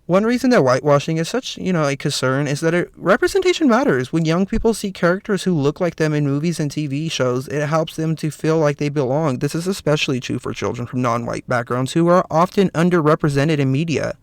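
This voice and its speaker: A slightly hoarse man with a raspy voice hosts a solo podcast, talking earnestly with lots of "you know" fillers. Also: on the high side, animated, fairly quick, few pauses, no echo, studio quality. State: slightly hoarse, clearing throat. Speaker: podcast host. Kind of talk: solo podcast commentary, partly read from notes.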